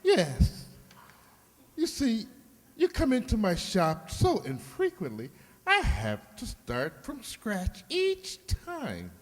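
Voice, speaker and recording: Gushing, swooping theatrical hairdresser. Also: male voice, high-pitched, wildly swooping pitch, slow, full character voice. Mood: theatrical, flattering. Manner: effusive, flowery, affected. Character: gushing, theatrical male hairdresser, flattering and two-faced. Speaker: amateur radio-play actor. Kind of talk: comic old-time radio sitcom acting. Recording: echoey hall with long reverb.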